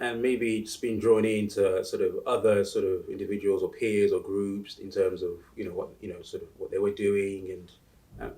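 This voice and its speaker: A man in his forties from Uganda, answering interview questions in a halting way, with many restarts and fillers. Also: on the low side, darkish tone, recorded from a distance. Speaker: interview guest. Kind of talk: casual interview talk.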